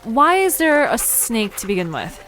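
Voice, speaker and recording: A woman's voice: fairly high pitch, very animated, unhurried, fairly bright tone, soft background music. A woman playing a curious, easily puzzled young visitor in an audio drama, talking in an informal, wry, inquisitive way. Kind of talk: conversational audio drama dialogue.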